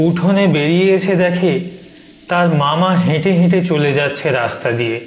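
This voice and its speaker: A man's voice: on the high side, lively intonation, normal speed. A man narrating a scripted horror story for animation. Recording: echoey, faint noise and ambience.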